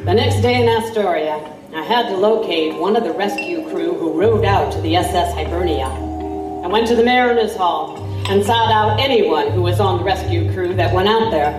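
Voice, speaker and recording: A woman's voice: lowish voice, moderate pace, distant mic, close to an everyday voice. A woman playing a wry reporter who narrates her own period investigation in scripted first person. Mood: wry, storytelling. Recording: echoey, off-mic, music behind.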